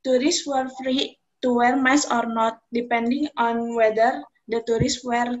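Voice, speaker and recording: A woman's voice: on the high side, fairly even intonation, a little slow, highs cut off. A young Indonesian woman with an Indonesian accent, giving a careful, rehearsed student presentation over a video call from home. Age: young adult. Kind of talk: class project video call. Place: room at home.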